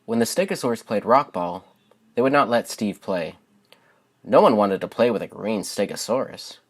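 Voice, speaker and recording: Low-pitched man, young adult. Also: animated, moderate pace. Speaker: channel host and children's book author. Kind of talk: casual spoken introduction to viewers.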